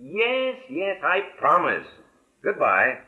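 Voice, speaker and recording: Rattled man on old radio, pitch jumping all over, tinny n muffled. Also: wildly swooping pitch, takes it slowly, very noisy, heavily acted. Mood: flustered. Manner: agitated, stammering repetitions. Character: flustered, pressured man pleading on the telephone. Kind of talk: 1940s radio drama acting. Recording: thin, phone-like vintage radio sound.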